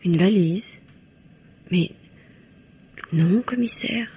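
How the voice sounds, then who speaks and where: A woman's voice: on the low side, very slow, mellow, husky, exaggerated voice. An actress playing an anxious, grieving young woman in a French radio mystery, reserved and hesitant. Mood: anxious, confiding. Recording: dull old radio recording.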